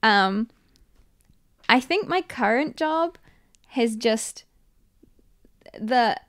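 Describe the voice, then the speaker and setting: Young woman, New Zealand accent, fairly high voice, casual podcast guest, self-deprecating, animated storytelling. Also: drawn-out words. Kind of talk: casual chat.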